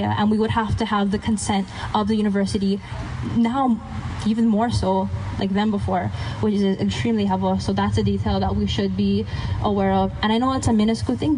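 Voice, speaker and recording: A woman's voice: mid-range voice, darkish tone, slight background noise, poor audio. A young woman from Hawaii speaking passionately at an outdoor gathering, casual with self-deprecating asides. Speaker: activist speaker. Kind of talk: speech at a rally.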